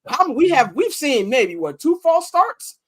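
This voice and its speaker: A man's voice: very high voice, measured pace. A man co-hosting a podcast, talking sports in a casual, slangy way and acting out what players and coaches said. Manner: animated, acts out what others said; slangy, loose and conversational. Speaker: podcast co-host.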